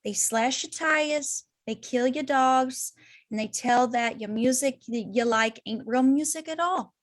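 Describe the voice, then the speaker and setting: A woman's voice: high voice, a little slow. A woman playing a flighty, chatty, unhinged young clown villainess in an amateur table read. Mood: wounded, unhinged.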